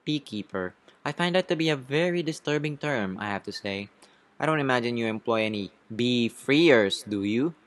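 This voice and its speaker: A man's voice: medium pitch, expressive, moderate pace, natural voice. A man voicing an earnest, indignant young bee who speechifies and asks pointed questions in a cartoon courtroom story.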